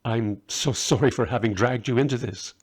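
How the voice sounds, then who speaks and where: A man's voice: mid-range voice, moderate pace, husky, close to an everyday voice. A humble, visionary magus from a storybook, played by a man reading aloud. He is earnest and hesitant, full of doubt about his own gift. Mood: gentle, grateful.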